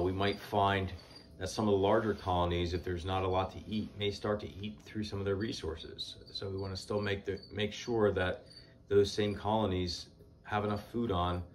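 A man in his forties, a beekeeper giving casual how-to advice in an unhurried, practical way with many "uh" pauses, filmed indoors in a small shed with the camera at desk distance. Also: low-pitched, a little hiss or hum. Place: indoors, small shed stacked with hive boxes.

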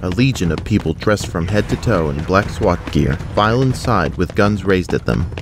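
A man's voice: sits low, animated, moderate pace, music in the background. A man reading scripted narration for an audio drama as its narrator.

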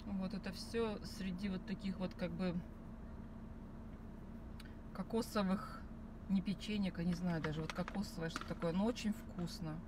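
A woman in her thirties chatting casually to camera from a parked car, rambling with many fillers and restarts. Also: on the low side. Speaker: vlogger. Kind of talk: casual chat. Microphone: phone camera on the dashboard. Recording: car with noise behind.

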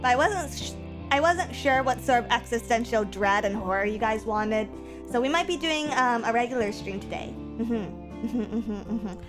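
A woman streaming a game in casual chat, a bit stuffy from a cold, going from low mumbles to loud excited yelling. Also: moderate pace. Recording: game music behind.

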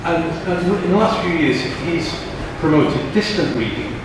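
A man's voice: fairly high pitch, lively intonation, unhurried. A middle-aged man giving an academic lecture off the cuff, reflective and fond of posing rhetorical questions. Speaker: keynote lecturer. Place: large conference lecture hall, indoors. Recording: echoey noisy hall, distant microphone.

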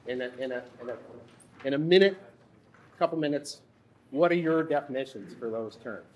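A man in his thirties leading a workshop from a lectern in a conference room, speaking haltingly with frequent restarts. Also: mid-range voice, slight background noise. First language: English. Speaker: workshop instructor. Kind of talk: workshop presentation.

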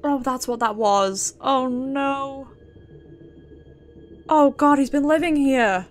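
A British woman livestreaming a horror game, thinking out loud in casual, sweary commentary and reacting as she plays. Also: high voice, slow.

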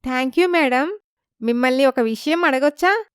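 Woman voicing a high, wronged young village wife. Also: measured pace, clean tone, no room sound, clean studio sound. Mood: puzzled, polite. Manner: pleading, indignant questioning. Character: naive village wife, wronged, tearful and indignant. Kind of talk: dramatized moral story dialogue.

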